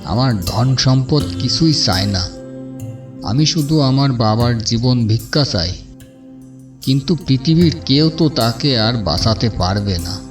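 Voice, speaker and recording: A man's voice: medium pitch, lively intonation, a little slow, recorded from a distance, music in the background, natural voice. A man voicing a humble, devoted son in a fairy-tale cartoon, earnest and pleading. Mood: pleading, awed.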